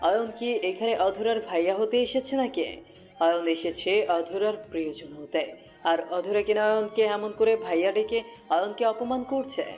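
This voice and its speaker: A woman's voice: mid-range voice, moderate pace, a little mellow. A woman narrating a scripted romantic story as a storyteller. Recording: music bed behind voice.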